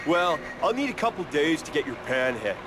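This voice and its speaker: A man's voice: on the high side, sing-song, fairly quick, noisy background. An actor playing a brash, informal bike-shop guy in a video game cutscene. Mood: wheeling, casual.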